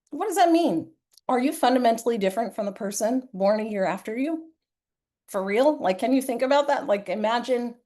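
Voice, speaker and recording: A woman delivering a conference presentation while hoarse from a cold. She clears her throat often and keeps an earnest, conversational tone with many fillers. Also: a little high, darkish tone. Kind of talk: live-streamed conference talk.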